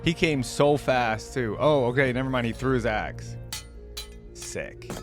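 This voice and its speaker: A man's voice: medium pitch, unhurried. A man hosting a reaction video, commenting casually and musing aloud in informal, slangy speech. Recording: faint music behind the voice.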